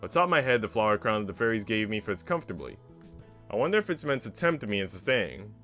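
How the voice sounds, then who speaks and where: A man's voice: on the low side, sing-song, quick pace, fairly bright tone, soft background music. A man reading the first-person narration of an earnest young heroine in a visual novel. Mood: reflective.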